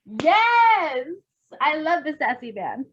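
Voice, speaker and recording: Female co-host, high and swoopy, unhurried casual chat, gushing and sweary, dull recording. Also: sing-song, slight background noise. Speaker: co-host reacting to a story reading.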